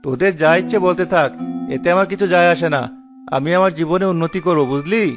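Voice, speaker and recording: A man's voice: sits high, quick pace, over music, natural voice. A man voicing a grumpy, boastful young penny-pinching villager in a Bengali cartoon, with an indignant, blustering delivery.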